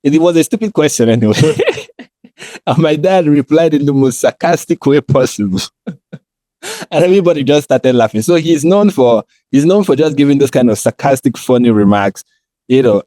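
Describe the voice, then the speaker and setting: A Nigerian man speaks in a dark, relaxed voice with very little room sound. He explains himself conversationally as a podcast co-host, often checking in with the listener as he goes. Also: mid-range voice, moderate pace, no room sound.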